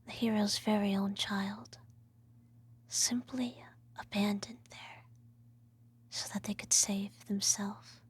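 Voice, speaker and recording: A woman playing an evil empress and doting adoptive mother, telling a bedtime story in a breathy ASMR whisper with formal, measured diction. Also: medium pitch, level tone. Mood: hushed, solemn storytelling.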